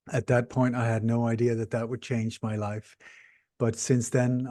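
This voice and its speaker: A man in his sixties, born in Denmark and raised in Sweden, speaking English in a podcast interview, explaining things earnestly and steadily with frequent pauses. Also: medium pitch, warm tone, no echo, clean studio sound. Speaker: interview guest, researcher.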